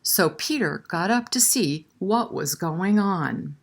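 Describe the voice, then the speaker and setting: Slow, lively woman reading a storybook aloud. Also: fairly low pitch. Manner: expressive, chatty, prompting listeners with questions. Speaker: library story-time host and reader. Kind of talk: picture book read-aloud with asides to children.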